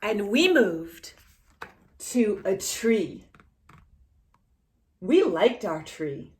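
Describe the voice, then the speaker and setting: Very animated, slow-paced woman storyteller for kids. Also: mid-range voice. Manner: animated, addresses the young listener with questions. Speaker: children's storytime host reading a picture book aloud. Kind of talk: read-aloud storytime for young children.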